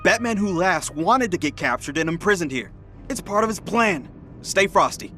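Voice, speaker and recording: A young, tech-savvy game hero, slightly high and bright. He is chatty, eager and informal. Faint music is behind him. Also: expressive, moderate pace. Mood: alert, encouraging. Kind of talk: video game cutscene acting.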